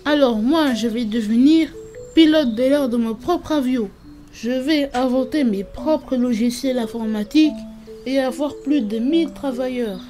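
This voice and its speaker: Mellow woman voicing an earnest cartoon child. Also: sits high, a little slow, faint music behind. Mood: earnest, confident. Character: ambitious, curious child addressing father.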